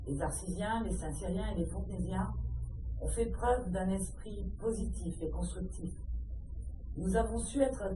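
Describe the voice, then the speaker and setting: Echoey recording of a mellow-voiced woman official. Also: mid-range voice. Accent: French. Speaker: local official addressing residents. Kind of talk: scripted formal speech. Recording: distant, echoey room with faint noise.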